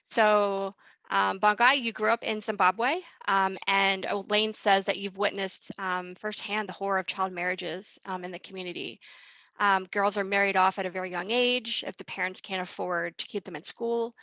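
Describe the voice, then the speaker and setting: A woman's voice: mid-range voice, steady tone, moderate pace, pushed and bright, no echo, highs cut off. A woman hosting an interview, introducing her guest in a friendly way with many hesitant fillers. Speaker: interview host.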